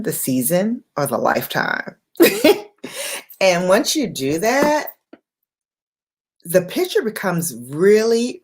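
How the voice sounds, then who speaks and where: A woman hosting an impromptu late-night livestream, speaking in an unhurried, reflective way in short, paused phrases. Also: low-pitched. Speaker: livestream host.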